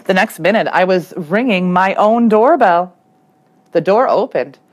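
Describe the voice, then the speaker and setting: Animated woman reading a children's storybook. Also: mid-range voice, a little slow, a little hiss or hum. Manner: animated, acts out the dialogue. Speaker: third grade teacher reading aloud. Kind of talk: expressive children's storybook read-aloud.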